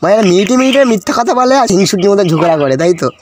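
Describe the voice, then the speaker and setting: Fast-talking young man, teasing. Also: high-pitched, expressive, heavy background noise. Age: young adult.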